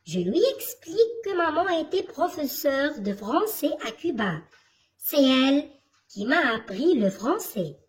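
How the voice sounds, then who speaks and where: Slow, lively woman teaching children. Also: high voice. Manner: slow, questioning, didactic. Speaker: primary school teacher. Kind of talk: reading lesson for children.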